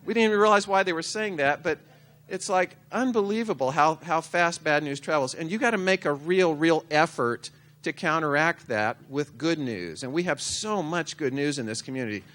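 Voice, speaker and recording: A man's voice: on the high side, normal speed, fairly bright tone. A man speaking casually at a community breakfast, chatty and anecdotal, repeating words for emphasis. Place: community breakfast meeting room.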